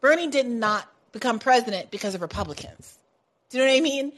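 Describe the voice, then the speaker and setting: A woman hosting a political talk podcast, animated and sarcastic, building into quick rants. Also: fairly high pitch. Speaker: podcast host.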